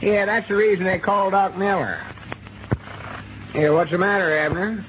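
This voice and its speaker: A man's voice: sits high, normal speed, lightly acted. An actor playing a folksy old country man with a rural Arkansas drawl in a 1930s radio comedy. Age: older. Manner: drawling backwoods dialect, reassuring. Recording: tinny old radio transcription with hiss.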